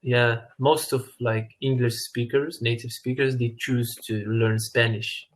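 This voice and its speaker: A Moroccan man with a mid-range voice practices English over a video call. He is friendly and curious, and he often pauses to search for a word and then corrects himself. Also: mid-range voice, fairly even intonation. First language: Arabic. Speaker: English learner. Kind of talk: casual video-call conversation.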